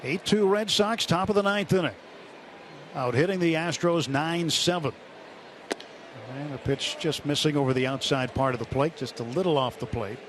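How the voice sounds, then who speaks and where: Male baseball radio announcer, higher-register voice, live play-by-play over clear ballpark noise. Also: normal speed. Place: broadcast booth at a ballpark. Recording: noisy stadium radio broadcast. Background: steady ballpark noise.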